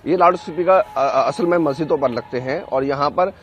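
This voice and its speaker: A man giving an unscripted live news report as a correspondent, halting often to search for words. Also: a little high, slight background noise.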